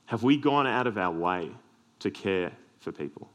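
An Australian man with a deep voice preaching a sermon, earnest with self-deprecating humorous asides. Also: lively intonation, moderate pace, a bit bright.

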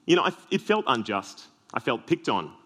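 An Australian man with a deep voice preaching a sermon, earnest with self-deprecating humorous asides. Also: sing-song, moderate pace, pushed and bright.

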